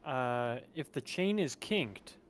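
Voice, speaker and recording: A man's voice: medium pitch, animated, slow. A young man joining a co-op game over voice chat, cracking goofy, crude jokes in a casual manner. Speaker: co-op gaming partner. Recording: noisy voice-chat capture.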